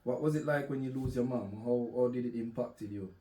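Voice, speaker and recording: A man hosting an interview, asking questions in an unhurried way with brief murmured replies. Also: medium pitch, dark tone. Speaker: podcast host. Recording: echoey room, distant mic.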